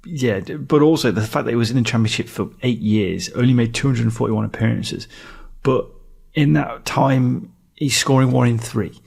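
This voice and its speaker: A man's voice: medium pitch, normal speed, vocal fry, a little mellow, breathy edge. A man co-hosting a football podcast, trading banter and quiz clues in casual chat.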